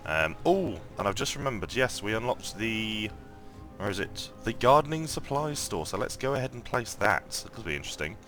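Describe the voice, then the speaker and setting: A man with a croaky morning voice giving casual gameplay commentary, thinking aloud and rambling with lots of ums and restarts. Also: lowish voice, very animated, moderate pace, fairly bright tone, soft background music. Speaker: mobile game let's-play host.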